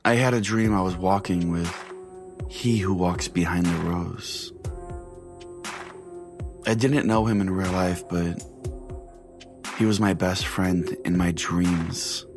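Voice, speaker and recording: Male podcast host telling a first-person tale, slow and deliberate, with music underneath. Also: low voice, fairly even intonation. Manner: deliberate, pausing. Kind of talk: dramatic first-person story narration.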